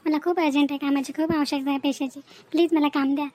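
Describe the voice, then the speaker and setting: A woman playing a high-pitched pleading poor schoolgirl. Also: fairly even intonation, fast, darkish tone, lightly acted. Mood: pleading, anxious. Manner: pleading, polite, insistent. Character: poor, proud, earnest schoolgirl begging for work. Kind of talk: animated moral story dialogue.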